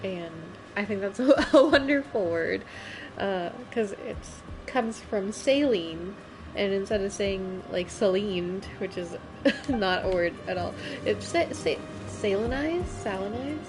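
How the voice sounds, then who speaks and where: Slow, playful female game streamer. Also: medium pitch, expressive, rough recording. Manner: playful, giggly, easily amused. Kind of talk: casual live gameplay commentary. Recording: livestream with loud game music. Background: loud game music.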